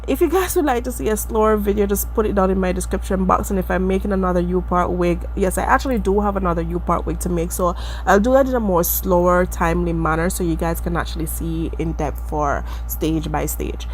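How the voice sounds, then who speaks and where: A woman's voice: on the low side, brisk, hardly any pauses, a little hiss or hum. A woman from the Caribbean with a stuffy, congested nose from allergies, talking viewers through a wig-making tutorial in a chatty, self-deprecating way. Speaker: beauty vlogger.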